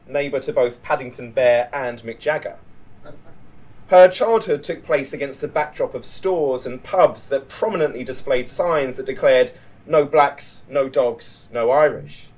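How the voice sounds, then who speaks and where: A man narrating a written short story in a measured, literary read-aloud delivery. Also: on the high side, a little mellow, poor audio. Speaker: writer reading a short story.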